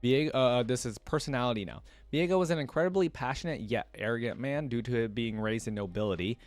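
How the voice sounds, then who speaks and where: A man's voice: medium pitch, fast talker, dry recording, faint music behind. A man doing casual reaction commentary, reading lore aloud and adding informal, conversational asides. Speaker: reaction video creator.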